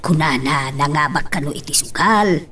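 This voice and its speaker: A woman's voice: deep voice, normal speed, bright, forceful tone, distant mic, a little hiss or hum. A woman playing an anxious, scolding mother, fretful and pleading, in an Ilocano audio drama. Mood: worried. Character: anxious, scolding mother of a sick grown child.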